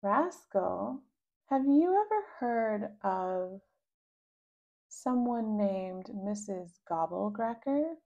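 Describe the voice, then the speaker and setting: A woman's voice: medium pitch, animated, drawn-out words, gravelly, warm tone, muffled recording, exaggerated voice. A woman voicing a bossy older sister who teases in a conspiratorial way. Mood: scheming. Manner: conspiratorial, teasing.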